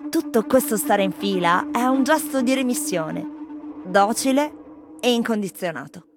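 An actress playing wry, world-weary foreign tourists in a theatrical radio monologue, speaking in delivery. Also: female voice, a little high, expressive, moderate pace. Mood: solemn, wry. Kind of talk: theatrical scripted monologue over music. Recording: music playing behind the voice.

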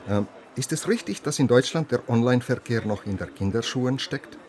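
A man's voice: mid-range voice, lively intonation, a little fast, darkish tone, close to an everyday voice. An actor playing a proud, matter-of-fact Estonian policeman, formal and a little condescending, in a radio drama. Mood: cool, proud. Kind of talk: German radio crime drama dialogue.

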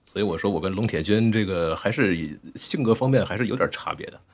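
A man chatting casually as a guest on a live voice chat, halting and interrupting himself. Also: on the low side, expressive. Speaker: voice actor guest. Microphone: online voice chat.

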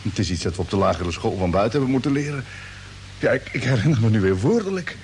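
An actor playing a drowsy, bewildered space expedition commander in a radio play, speaking haltingly and repeating words. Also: male voice, lowish voice, animated, darkish tone. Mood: puzzled, tired. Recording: noisy, humming old radio drama recording.

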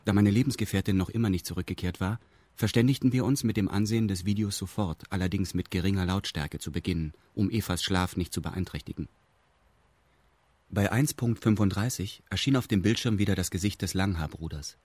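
An actor playing a detached first-person narrator in a radio drama, speaking in formal, pedantic, deadpan prose. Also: male voice, sits low, brisk, no echo. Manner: formal, deadpan, stilted indirect speech.

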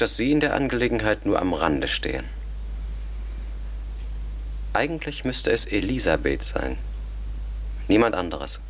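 Sceptical educated man, low voice, formal, pondering, methodical radio drama delivery. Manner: deliberate, reasoning, formal diction. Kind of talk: German radio drama acting.

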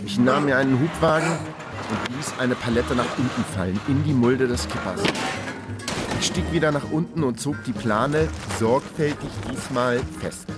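A male actor plays a wry, luckless investigator in a radio crime drama. He delivers his lines in a laconic, deadpan manner at a middling pitch, with music clearly behind him. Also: normal speed, lightly acted. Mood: laconic, matter-of-fact. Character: wry, hapless male investigator narrating his own case. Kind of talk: German radio crime drama, first-person narration.

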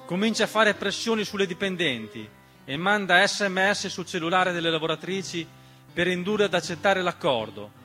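A man performing a dramatic political theatre monologue in an emphatic, rhetorical manner with deliberate repetition. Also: high voice, expressive, sharp tone. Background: piano accompaniment.

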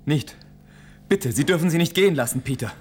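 Desperate, animated protector. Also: male voice, fairly high pitch, a little fast, slightly rough, soft background music. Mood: desperate pleading. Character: desperate, pleading young man, fiercely protective. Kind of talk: science-fiction radio play acting.

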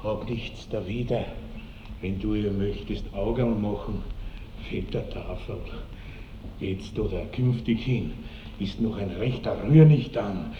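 An actor playing a pompous, lecherous baron with an Austrian accent and florid old-fashioned phrasing, in the stagey manner of 1960s radio drama. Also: male voice, on the low side, takes it slowly, mellow, recorded from a distance. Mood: condescending, smug. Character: pompous, lecherous baron, self-satisfied suitor. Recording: dull, roomy, slightly noisy vintage radio recording.

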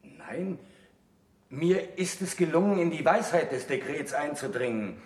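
An earnest, troubled young monk in an echoey radio drama. His voice sits fairly high for a man, and his delivery is formal, rhetorical and deliberate, in the manner of a stage speech. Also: animated. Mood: earnest, restrained. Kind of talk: German stage-like radio play acting. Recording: echoey room, old radio play.

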